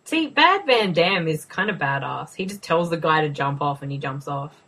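A woman's voice: low-pitched, expressive, normal speed, a bit bright, breathy edge. A young woman casually co-hosting a movie commentary, joking, sarcastic and swearing freely. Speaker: co-host.

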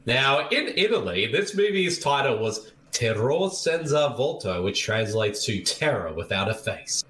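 A man's voice: medium pitch, big pitch swings, unhurried, fairly bright tone. A man co-hosting a podcast, riffing on a movie in casual chat, joking with exaggeration and laughing often. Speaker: podcast co-host.

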